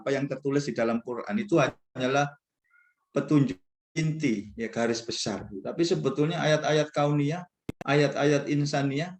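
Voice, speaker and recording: A man gives an informal religious lecture to students as a professor, in a conversational, rambling, self-deprecating way. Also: mid-range voice. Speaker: university professor speaking as guest mentor. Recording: echoey, roomy sound.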